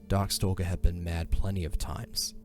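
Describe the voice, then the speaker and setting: A man with a markedly deep voice narrates a dramatic story. His delivery is solemn and measured, set against clearly audible music. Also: flat delivery.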